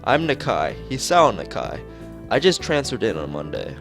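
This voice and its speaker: A man's voice: mid-range voice, sing-song, normal speed, gravelly, music in the background. A man voicing an awkward, polite teenage schoolboy with a hesitant manner in visual novel dialogue. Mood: unsure, awkward.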